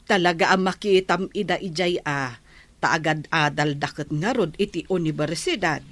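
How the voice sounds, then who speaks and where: A woman's voice: sits low, normal speed, fairly bright tone, no echo. A woman playing a nosy, probing village neighbor with an Ilocano accent in a radio comedy drama. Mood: curious.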